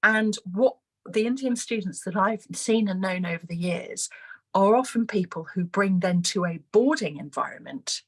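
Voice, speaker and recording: A woman from Malawi, a school head on a webinar panel, speaking earnestly and articulately with emphatic repetition in a husky voice. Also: mid-range voice, moderate pace. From grew up in Malawi as an expatriate. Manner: earnest, emphatic, articulate, repeats words for stress.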